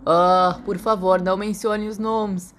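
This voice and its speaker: A woman's voice: mid-range voice, little pitch movement, slow, slight background noise, lightly acted. A woman reading a storybook voices a confident, boastful teenage boy who banters competitively with his friends. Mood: exasperated. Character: confident, boastful, athletic teenage wizard schoolboy.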